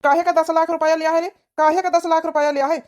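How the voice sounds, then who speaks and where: Lady doing a fed-up awadhi working husband in a cartoon, high voice, keeps grumbling and berating. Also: level tone, quick pace, a little mellow, lo-fi sound. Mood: angry. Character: tired, exasperated working village husband. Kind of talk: cartoon village comedy acting.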